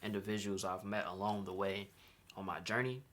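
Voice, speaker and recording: A man's voice: on the low side, fairly even intonation, brisk, fairly bright tone. A young man from Chicago talking casually about his custom sneaker, in laid-back slang that often ends with "right?". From Chicago, USA. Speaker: sneaker designer. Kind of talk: casual vlog explanation.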